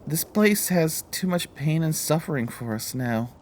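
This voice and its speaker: Man voicing a timid, higher-pitched stallion. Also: expressive, measured pace, a little mellow, slight background noise. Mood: timid, sorrowful. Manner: timid, subdued. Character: timid, wounded male pony, weary of suffering.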